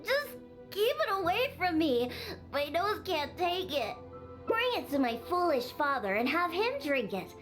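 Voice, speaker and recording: An exasperated young girl from a video game, bossy and bratty. The voice sits high and moves around in lively swings as it scolds and instructs. Faint music sits behind it. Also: unhurried, full character voice. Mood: disgusted. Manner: scolding, bratty, matter-of-fact. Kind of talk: video game character acting.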